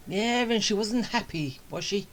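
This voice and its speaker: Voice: mid-range voice, animated, measured pace, slight background noise. A video game streamer giving casual commentary, muttering and thinking aloud in a rambling way. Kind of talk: casual gameplay commentary, talking to self.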